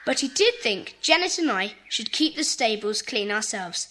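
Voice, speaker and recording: A confident British schoolboy takes charge briskly and kindly. The voice is high and bright, as in a children's audio play. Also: female voice, sing-song, heavily acted. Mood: confident, encouraging. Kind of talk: children's audio drama acting.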